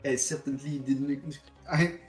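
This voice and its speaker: A man's voice: medium pitch, steady tone, unhurried, reverberant, faint music behind, close to an everyday voice. A man voicing an astonished, doddery old judge in a courtroom game, blustering in formal speech. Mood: taken aback. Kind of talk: fan-dub courtroom game read-aloud.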